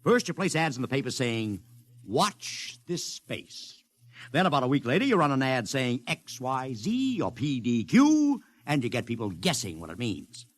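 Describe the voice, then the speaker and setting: Brash, animated publicity huckster. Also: male voice, mid-range voice, normal speed, dry recording. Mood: sales patter. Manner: slangy, wisecracking salesman patter. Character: brash, glib, money-hungry publicity agent. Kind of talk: 1950s radio drama acting.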